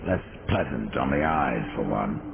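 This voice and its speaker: An actor playing a grim, sardonic undead horseman in a video game, with a deep gravelly rasp and dry delivery. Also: expressive, a little slow, full character voice. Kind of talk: video game dramatic acting. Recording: muffled phone-like band, background noise.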